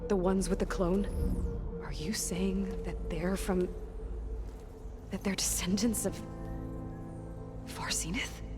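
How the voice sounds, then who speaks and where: Casting: actress as a young female game hunter, mid-pitched, earnest and wary, thinking aloud over clear music. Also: steady tone, takes it slowly, long pauses, close to an everyday voice. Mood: stunned, uneasy. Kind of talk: naturalistic video game acting.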